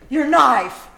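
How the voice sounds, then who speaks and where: A woman's voice: high-pitched, creaky, noisy background, full character voice. A woman acting a defensive, desperate stranded stranger in an old-time radio suspense play, agitated and melodramatic.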